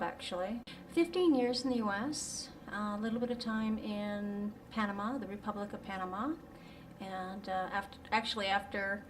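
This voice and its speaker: A woman with a mid-range voice chats through her answer, with ums and restarts. She sounds a little far from the mic, with a faint background hum. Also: medium pitch. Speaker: interviewee. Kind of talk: casual interview answer.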